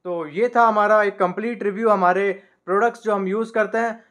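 Young male vlogger, fairly high voice, casual gear walkthrough with lots of "uh", podcast mic at a desk. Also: brisk. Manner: relaxed, many "uh" fillers, shows each item. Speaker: moto vlogger reviewing his gear. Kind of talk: casual product review to camera. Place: small indoor room at a desk. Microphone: podcast mic on a boom arm by the desk.

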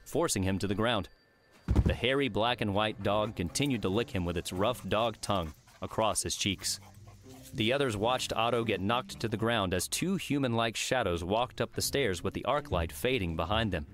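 Low-voiced male audiobook narrator. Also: a bit bright. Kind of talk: scripted fiction narration.

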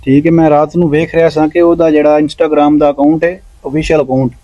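A man speaks earnestly about his sport, dropping little "alright" checks between points. His voice sits a bit high for a man yet sounds mellow and dark. The outdoor sound is dry, with no room echo. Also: flat delivery, quick pace, muffled recording. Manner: earnest, peppers speech with "theek hai". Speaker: stone-lifting champion and coach. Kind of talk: interview answers. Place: outdoor lawn at night.

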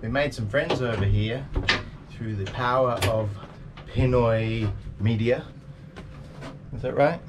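A man's voice: medium pitch, slow, long pauses, noisy background. A man in his forties talking casually to camera as a sailing vlogger on a boat.

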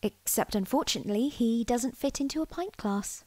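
Woman acting high-voiced, melodramatic housemate. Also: moderate pace, background noise, natural voice. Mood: wry. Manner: melodramatic, accusing. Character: earnest, indignant, sentimental young female housemate. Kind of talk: audio drama acting.

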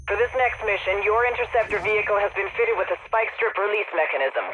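An actor playing an authoritative police command officer in a video game, giving a formal, clipped briefing through a radio filter. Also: male voice, high voice, quick pace, sharp tone, a little hiss or hum. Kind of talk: scripted briefing narration. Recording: dull, band-limited radio-style sound.

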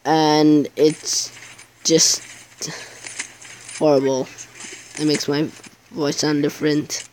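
A man's voice: a little high, flat delivery, slow, long pauses, slight background noise, rough recording. A teenage boy chatting casually over his gameplay video, rambling and hesitant, with many fillers. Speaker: gaming YouTuber.

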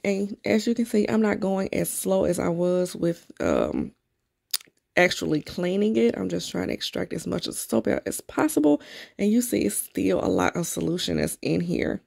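A woman's voice: fairly low pitch, big pitch swings, moderate pace, vocal fry, a little mellow. A woman, casually narrating her cleaning as a vlogger in a garage. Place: home garage. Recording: noisy home camera recording.

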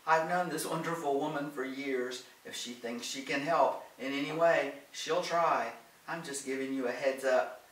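A man reading the part of a calm, loyal man in a storybook, frank and reassuring. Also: medium pitch, moderate pace, echoey room, far from the mic, natural voice, rough recording. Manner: reassuring, matter-of-fact.

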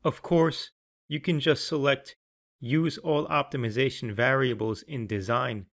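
A man reading scripted instructional narration in a measured, clearly enunciated, explanatory way. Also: medium pitch, fairly even intonation, a bit bright. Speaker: software tutorial narrator.

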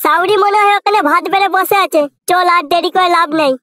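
Guy doing a super high squeaky village lad, crude cartoon scold, music behind him. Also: fairly even intonation, fairly quick. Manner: crude, chiding slang. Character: scolding young Bengali village son-in-law, foul-mouthed. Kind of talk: comic cartoon dubbing.

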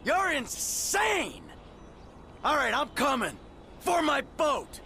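An actor playing a jaded, hot-tempered middle-aged American criminal in a video game, with a cynical, profane way of talking. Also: male voice, very high voice, huge pitch swings, takes it slowly, heavy background noise, full character voice. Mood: angry, alarmed. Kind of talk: video game cutscene acting.

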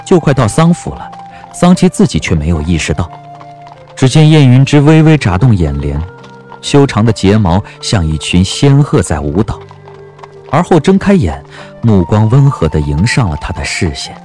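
A man narrating a story as an audiobook narrator, in a scripted, expressive and measured dramatic style. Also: fairly low pitch, faint music behind.

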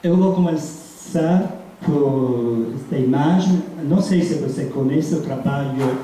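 Slow, hesitant Italian-accented male lecturer. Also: fairly high pitch, warm tone. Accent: foreign, Italian. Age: middle-aged. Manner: hesitant, searching for words. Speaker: guest academic lecturer. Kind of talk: halting lecture in a non-native language. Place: large dim seminar room. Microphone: handheld microphone into room speakers, recorded by distant camera. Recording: echoey room, distant mic, background noise.

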